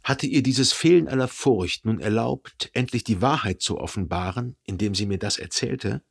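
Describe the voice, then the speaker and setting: An actor playing a brooding, melancholy man who recalls a dead lover in reflective, measured radio drama narration. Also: on the low side, creaky, husky. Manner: reflective, measured, analytical monologue.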